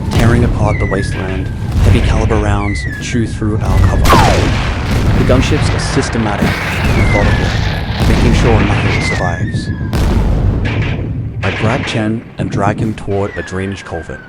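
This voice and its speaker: A man reading a dramatized first-person audiobook in a gritty, grim, intense storytelling manner. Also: fairly low pitch. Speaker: audiobook narrator. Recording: loud music behind the voice.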